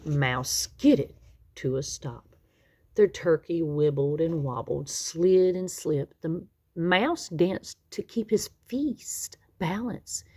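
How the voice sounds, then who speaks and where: A woman reading a children's picture book aloud expressively, with dramatic, drawn-out emphasis on key words. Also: lowish voice, darkish tone, breathy edge. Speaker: children's story reader.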